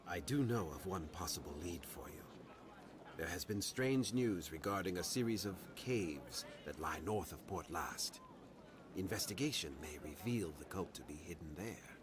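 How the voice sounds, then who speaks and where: An actor playing a grave, formal man who gives out quests in a fantasy game, with measured, old-fashioned phrasing. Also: low-pitched, expressive, noisy background. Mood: grave, earnest. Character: grave, formal man directing a hunt for cultists. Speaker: quest-giving game character. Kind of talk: scripted fantasy game dialogue.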